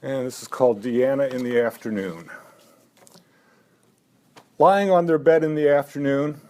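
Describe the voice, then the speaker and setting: A man's voice: mid-range voice, darkish tone. Older man reading his own poems. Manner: dry, self-mocking asides between poems; halting talk with many "uh" fillers. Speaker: poet. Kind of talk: live poetry reading. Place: poetry venue, live reading.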